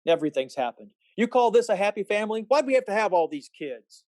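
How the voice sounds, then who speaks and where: A man's voice: high-pitched, very animated, fast talker, a little mellow, no room sound. An amateur actor playing a distraught, short-tempered family man in an old-time radio play, agitated and stammering. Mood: irritated, angry.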